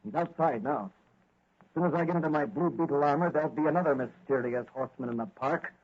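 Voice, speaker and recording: An actor playing a brave young cop turned masked avenger in earnest, declamatory 1940s radio style. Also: male voice, fairly high pitch, quick pace, breathy edge. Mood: determined. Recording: dull, muffled old radio recording.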